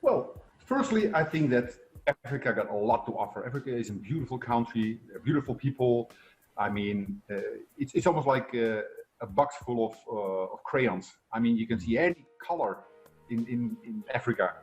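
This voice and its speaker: A man's voice: mid-range voice, animated, moderate pace, faint music behind. A middle-aged man from the Netherlands with a Dutch accent, talking conversationally as an upbeat coach being interviewed. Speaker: guest, success coach and entrepreneur.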